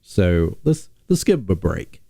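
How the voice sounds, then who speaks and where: A man hosting a casual sports talk stream, sick and nasal, rambling with many pauses and restarts. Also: deep voice, very animated, gravelly, mellow, no room sound, clean studio sound. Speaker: podcast host.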